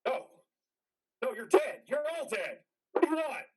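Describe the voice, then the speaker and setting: A man's voice: very high-pitched, sing-song, normal speed, recorded from a distance, background noise. A man in a reader's theater drama playing a troubled, haunted army veteran, earnest and hesitant. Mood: haunted, agitated.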